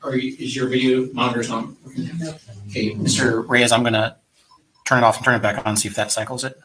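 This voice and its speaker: A man's voice: medium pitch, little pitch movement, fast. A man presiding as judge in a courtroom, giving practical procedural instructions. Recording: slightly noisy courtroom audio.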